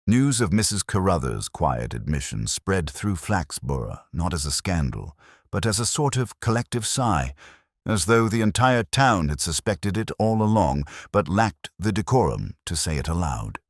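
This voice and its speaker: A man narrating a scripted mystery story in a measured, literary manner. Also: low-pitched, big pitch swings, dry recording, studio quality.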